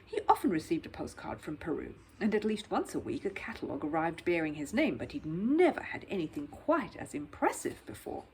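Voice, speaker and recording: Female narrator for a children's book, lively and varied intonation, faintly noisy recording. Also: lowish voice, brisk, hardly any pauses. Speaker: storyteller reading a children's book. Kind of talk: expressive scripted narration.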